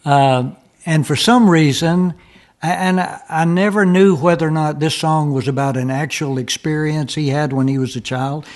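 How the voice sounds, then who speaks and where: A man's voice: fairly high pitch, normal speed, a little mellow. A man, a musician guest, telling stories in a casual, rambling chat and searching for his words.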